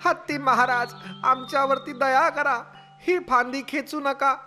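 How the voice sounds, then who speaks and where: Over clear background music, a woman plays a little cartoon sparrow in a high voice. The bird is polite, plaintive and beseeching. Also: steady tone, a little slow, bright, forceful tone. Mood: pleading. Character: small polite sparrow pleading for its nest. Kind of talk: children's animated story acting.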